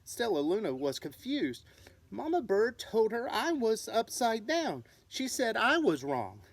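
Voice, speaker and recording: Slow, very expressive children's storyteller. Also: mid-range voice. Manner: gentle, simple explaining for small children. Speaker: storyteller reading aloud to children. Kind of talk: expressive picture-book narration.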